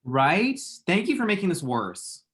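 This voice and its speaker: A man's voice: high-pitched, sing-song, measured pace, fairly bright tone, full character voice. A man acting a dramatic, lovestruck man in his late twenties in a rom-com script read, rambling and quippy. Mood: wry, flustered. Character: dramatic, lovestruck, scrolling-obsessed twenty-seven-year-old man. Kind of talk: screenplay table read, rom-com dialogue.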